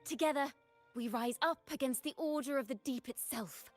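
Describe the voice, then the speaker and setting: A woman's voice: high-pitched, moderate pace, close to an everyday voice. An actress voicing a spirited young female bard in a video game, telling tales in a bold, theatrical, declamatory way. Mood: rousing. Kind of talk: dramatic game voice acting. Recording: game audio with music behind. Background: music.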